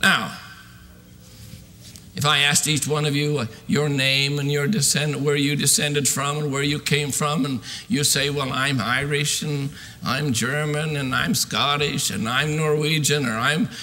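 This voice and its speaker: A man in his sixties preaching a fervent sermon in an emphatic, declamatory way with drawn-out stressed phrases. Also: on the high side, flat delivery, bright, forceful tone. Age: 60s. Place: large church sanctuary, at a pulpit. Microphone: podium microphone. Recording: reverberant hall sound.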